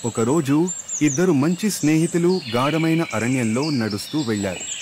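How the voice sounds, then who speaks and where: A man's voice: fairly high pitch, normal speed, background noise. A man reading a scripted story for children in the manner of a storyteller. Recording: loud birdsong and forest ambience behind. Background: chirping birds, outdoor nature sounds.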